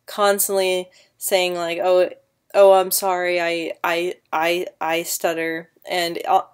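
A woman's voice: lowish voice, level tone, takes it slowly, clear, resonant tone. A woman in her twenties vlogging casually to camera on a webcam mic from her sofa at home, stuttering often on sounds and words, earnest and encouraging. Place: living room, seated on a sofa indoors. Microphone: built-in webcam mic.

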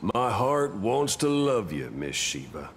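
A man's voice: on the low side, sing-song, slow. A man playing a flirtatious, swaggering outlaw gunslinger in a video game, with cocky talk. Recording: long reverb, some background noise.

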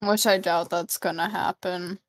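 Slow, giggly woman gaming friend. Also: on the low side, steady tone, dry recording. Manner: giggly, laid-back, drawn-out speech. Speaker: co-player. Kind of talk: casual gameplay chat.

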